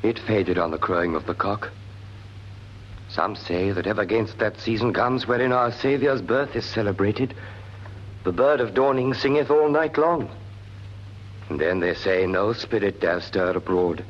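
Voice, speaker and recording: A British actor playing a reverent soldier on night watch, lyrical in a classical Shakespearean radio drama. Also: male voice, lowish voice, measured pace. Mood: awed, reverent. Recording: muffled old radio recording with hum.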